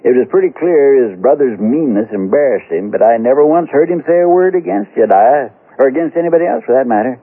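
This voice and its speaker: Rustic American ex-lawman, folksy radio-western storyteller, rough-edged voice, thin vintage radio sound. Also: medium pitch, expressive, fairly quick, hardly any pauses. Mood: grim storytelling. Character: folksy former frontier sheriff telling a grisly tale. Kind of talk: 1970s radio western acting. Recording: muffled, phone-like old radio recording.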